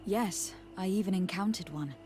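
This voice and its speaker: A woman playing a courteous, inquisitive noblewoman in a fantasy video game, formal and thoughtful, with a husky voice. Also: mid-range voice, moderate pace, warm tone, a little hiss or hum, close to an everyday voice. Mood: thoughtful, composed. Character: courteous, inquisitive young noblewoman envoy and adventurer. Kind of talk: video game dialogue acting.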